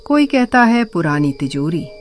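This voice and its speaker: A woman's voice: fairly low pitch, sing-song, faint music behind. A woman playing a solemn old village grandmother, foreboding and cautioning, in a horror audio drama. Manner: slow, foreboding.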